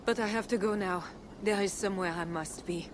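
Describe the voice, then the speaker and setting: A woman playing a devout, enigmatic medieval Frankish queen in a video game cutscene, speaking in a composed, solemn and measured way. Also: mid-range voice, noisy background, lightly acted. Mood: solemn, grateful.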